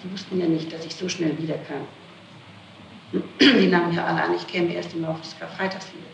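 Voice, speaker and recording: A person under police questioning recounts events in a mid-pitched voice, explaining and correcting themself along the way. The archival tape is roomy, with clear noise over the speech. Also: flat delivery, fairly quick. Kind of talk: interrogation testimony, recounting events.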